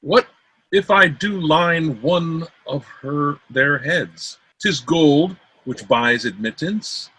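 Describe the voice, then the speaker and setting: A man reading the part of a boorish, spoiled young princely suitor in an amateur Shakespeare play, declaiming archaic verse in drawn-out phrases. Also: on the high side, animated, bright, forceful tone, husky, exaggerated voice, poor audio. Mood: scheming. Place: remote online video-call reading.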